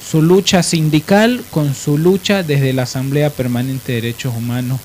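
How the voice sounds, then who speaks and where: Un hombre con acento boliviano que conduce un programa de radio hablado, contando biografías de manera informal y corrigiéndose al hablar. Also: male voice, fairly high pitch, steady tone, moderate pace, a little mellow. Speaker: radio show host. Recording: clear background hiss.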